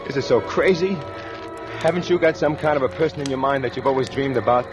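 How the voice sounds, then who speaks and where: A man's voice: medium pitch, natural voice. Man acting a haunted hard-boiled killer, music underneath. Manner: colloquial tough-guy talk with tense hesitations. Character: nervous hard-boiled male killer on the run, narrating. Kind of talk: 1940s radio acting, first-person crime narration. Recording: old radio recording with musical underscore.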